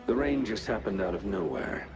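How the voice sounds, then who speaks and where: An actor playing a brooding, obsessive general in a video game, speaking in weighty, halting phrases. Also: male voice, on the low side, sing-song, music in the background. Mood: brooding, bitter. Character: brooding, obsessive general bitter toward his enemy. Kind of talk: dramatic scripted game dialogue.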